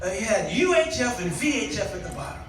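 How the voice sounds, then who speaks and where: A man's voice: high voice, very animated, fast talker, a crowd in the background. A middle-aged American man preaching nostalgically to a congregation, theatrical and exclamatory, leaning on rhetorical questions. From Chicago, United States. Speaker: guest preacher. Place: church hall, preaching to a congregation. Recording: echoey hall, distant microphone.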